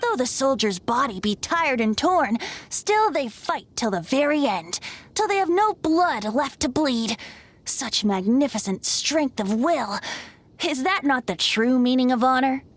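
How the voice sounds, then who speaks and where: Woman voicing a young male bard, game character, theatrical, poetic, high, lively, faint music. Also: measured pace, heavily acted. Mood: grandiose. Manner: grandiose, poetic speeches. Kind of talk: video game dub.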